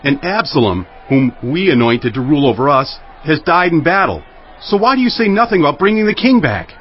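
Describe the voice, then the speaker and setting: Agitated Israelite, lively argumentative swings, slightly dull recording. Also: male voice, a little high, a little fast, breathy edge. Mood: urgent, agitated. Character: agitated, arguing Israelite townsman. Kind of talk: dramatized audio Bible acting.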